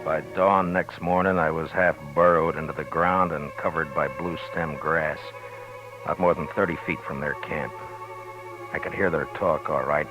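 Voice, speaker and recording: An actor playing a steady, commanding male frontier marshal who also narrates, in a 1950s radio drama, calm and authoritative. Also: extremely low pitch, low-quality recording. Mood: narrating. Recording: old radio broadcast with music bed.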